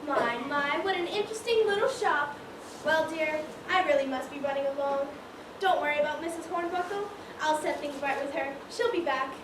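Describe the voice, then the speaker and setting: A woman's voice: high voice, moderate pace, close to an everyday voice. A woman playing a nosy, arch society gossip with sugary politeness in an amateur stage comedy. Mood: breezy, arch. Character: nosy, gleefully coy society lady gossip. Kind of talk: amateur one-act stage comedy acting. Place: stage in a large echoey room. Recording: echoey stage, noisy, far-off mic.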